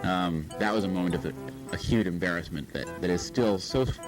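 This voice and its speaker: Give the voice of a man with a very deep pitch, recounting a personal anecdote in a casual, halting way. Music plays clearly behind him. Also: low-quality recording.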